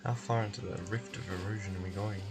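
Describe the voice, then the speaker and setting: A man's voice: fairly low pitch, fairly even intonation, fast talker, vocal fry, over loud music. Casual male game streamer muttering asides. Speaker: streamer playing and voicing a game. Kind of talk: casual gameplay commentary.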